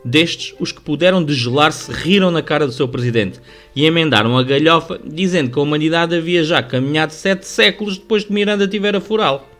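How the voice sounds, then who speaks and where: A man with a European Portuguese accent giving formal, measured, scripted literary narration for a radio drama. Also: on the high side, a bit bright. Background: music under the voice.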